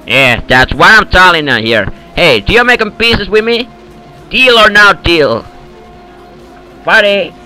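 A man's voice: sits high, lively intonation, a little slow, long pauses, bright, forceful tone, soft background music, low-quality recording. A man with an Eastern European accent trolling other players in game voice chat, boastful and in broken English, over a headset. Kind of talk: prank call banter in game voice chat.

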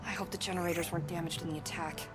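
Actress as young techie woman guiding someone thru a game mission, brisk n focused, music blaring behind. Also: fairly low pitch, steady tone, close to an everyday voice. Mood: focused, instructive. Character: young woman, technically skilled, urgent, resourceful guide. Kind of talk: video game acting.

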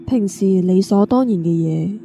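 A woman's voice: mid-range voice, normal speed, vocal fry, over music, close to an everyday voice. A woman narrating a radio drama in a scripted, storytelling manner. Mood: somber. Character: female narrator of a world struck blind.

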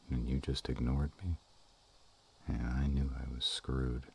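Guy doing a gruff but soft-hearted dragon boyfriend, super deep n mellow, slow teasing murmur, romance roleplay audio. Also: a little hiss or hum. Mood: wry, fond. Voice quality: very deep and husky. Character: affectionate, bashful, gruff male were-dragon lover.

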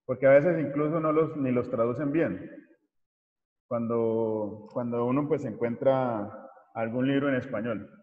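A man's voice: medium pitch, a little slow. Man teaching in an echoey room. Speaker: university mathematics teacher. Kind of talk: informal online lecture. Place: small indoor room, video call. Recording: muffled, echoey room over video call.

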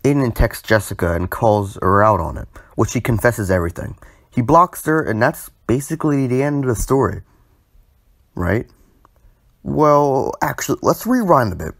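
A man's voice: mid-range voice, lively intonation, moderate pace, no room sound, studio quality. A young man narrating a storytime video informally, with a sardonic manner and bursts of exaggerated emphasis.

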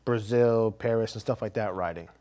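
A man's voice: fairly low pitch, normal speed, mellow. A middle-aged man speaking earnestly as an interview guest in a small studio. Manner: earnest, heartfelt. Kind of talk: casual interview chat. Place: small TV studio with curtain backdrop.

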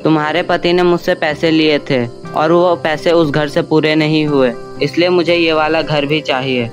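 Man playing a harsh greedy merchant, highish voice. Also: steady tone, normal speed, close to an everyday voice. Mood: menacing, demanding. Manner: harsh, demanding. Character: greedy, bullying middle-aged male moneylender merchant. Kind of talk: Hindi animated story dialogue. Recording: music under the voice.

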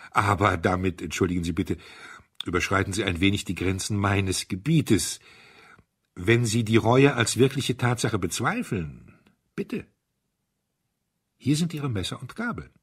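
A male narrator plays a serene little priest from a detective audiobook. His voice is markedly breathy and moves in lively swings of pitch. He speaks with unhurried good humour and gentle solemnity. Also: heavily acted. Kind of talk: audiobook character acting.

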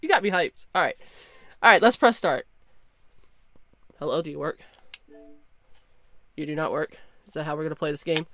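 A young woman streaming a game, chatting casually and excitably with her viewers through a headset, her voice slightly hoarse. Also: sits low, normal speed, pauses often, no echo. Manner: chatty, excitable, talks to chat. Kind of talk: casual live-stream chat.

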